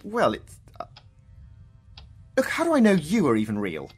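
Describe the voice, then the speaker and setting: Guy acting a wry hurt genius in a radio play, husky voice, pitch all over the place, hushed then bursting out. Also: wildly swooping pitch, normal speed, full character voice. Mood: questioning. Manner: wry, emotional, swinging from hushed to outburst.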